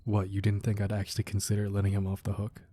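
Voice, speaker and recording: A man playing a protective, romantic crime boss husband in an intimate ASMR roleplay, soft and hushed, tender with an edge of menace. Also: fairly low pitch, monotone, lightly acted. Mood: teasing, seductive. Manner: slow, deliberate, tender murmuring with pauses.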